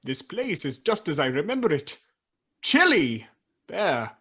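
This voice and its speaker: A woman playing a regretful, easily moved old man in a radio play, with a husky, breathy voice and halting, dramatic delivery. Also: sits low, very animated. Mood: sad, subdued. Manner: halting, dramatic, swinging between exclamation and hush.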